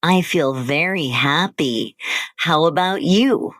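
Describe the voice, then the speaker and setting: A woman co-hosting an English-learning podcast, speaking slowly and carefully in simple scripted sentences. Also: low-pitched, lively intonation, fairly bright tone, no echo. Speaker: podcast co-host.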